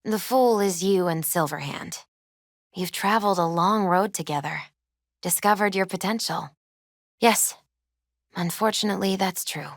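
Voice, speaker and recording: A woman playing a gentle, earnest young tarot reader in a video game, with thoughtful, hesitant phrasing. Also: mid-range voice, a little slow, long pauses, dry recording, clean studio sound. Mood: mystical, explanatory. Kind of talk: naturalistic video game dialogue acting.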